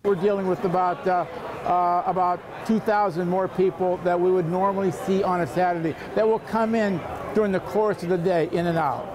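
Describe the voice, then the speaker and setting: A man's voice: high voice, fairly even intonation, normal speed. An older man giving an unscripted interview as an oddsmaker. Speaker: sportsbook oddsmaker being interviewed. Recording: busy crowd behind. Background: busy crowd in a public venue.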